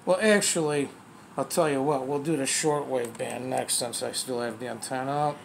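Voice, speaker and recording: A man's voice: medium pitch, normal speed, gravelly, slight background noise, low-quality recording. A man giving a casual, hands-on product demonstration in a plainspoken, folksy way, as a reviewer talking while he works the controls. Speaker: radio reviewer, presenter.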